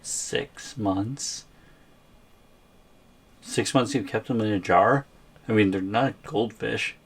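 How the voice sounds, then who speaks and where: A man's voice: lowish voice, slow, breathy edge, full character voice. A man playing a wary, placating captive boyfriend in an ASMR audio roleplay, with a hesitant, questioning, understated delivery. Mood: uneasy disbelief.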